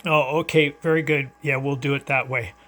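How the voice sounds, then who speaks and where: A man's voice: mid-range voice, steady tone, moderate pace, over a noisy crowd. An older American man chatting casually over ham radio in an easygoing, rambling, hesitant way with callsigns and handovers. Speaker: amateur radio operator. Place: indoors at his radio, filming it.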